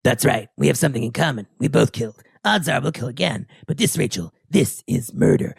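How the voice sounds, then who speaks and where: A podcaster voicing a gruff, grim male antihero from a comic, speaking gravely and tersely. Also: medium pitch, sing-song, brisk, dry recording, studio quality, pitched below the performer's own voice, exaggerated voice. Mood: grim, weary.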